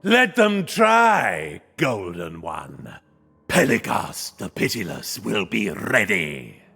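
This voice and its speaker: An actor playing an arrogant undead ghost knight in a fantasy game, with a gravelly voice and grandiose, menacing proclamations. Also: male voice, lowish voice, sing-song, takes it slowly, pushed and bright, exaggerated voice. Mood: scornful. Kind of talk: villain acting in a fantasy game cutscene.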